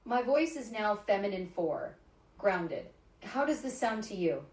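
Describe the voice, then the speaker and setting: A woman's voice: medium pitch, a little slow. A synthetic woman's voice of a voice assistant, giving polite, scripted replies. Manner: polite, even, scripted phrasing. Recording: echoey room from a smart speaker.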